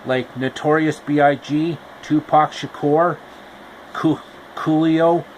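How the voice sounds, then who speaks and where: A man's voice: fairly high pitch, fairly even intonation, slow, a little hiss or hum, low-quality recording. A man retelling a fairy tale in a loose, rambling casual narration with restarts. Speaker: storyteller. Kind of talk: casual improvised story retelling.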